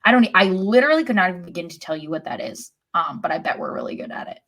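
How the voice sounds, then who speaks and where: A woman's voice: on the low side, big pitch swings, racing, fairly bright tone. A woman co-hosting a sports podcast, chatting casually with dry, sarcastic humor. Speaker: podcast co-host.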